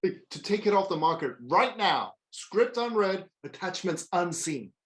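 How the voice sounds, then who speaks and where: A woman's voice: lowish voice, animated, measured pace. A woman playing an easygoing, flirty film buyer in a conversational table read over a video call. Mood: easy, teasing. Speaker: actor in a screenplay table read. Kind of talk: conversational script reading.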